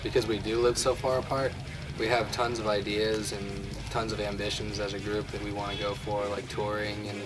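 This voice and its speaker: A man's voice: on the low side, little pitch movement, normal speed, over music, poor audio. A twenty-year-old man, a band's guitarist, talking casually and filling his speech with "like". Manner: casual, lots of "like". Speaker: band guitarist. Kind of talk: casual interview.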